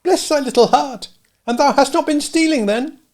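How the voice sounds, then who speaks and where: A man's voice: extremely high pitch, normal speed, a little mellow, voice pushed up. A man voicing a kindly old village dame who fusses over a boy in old country dialect. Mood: fussing. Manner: dialect speech, fussing and exclaiming.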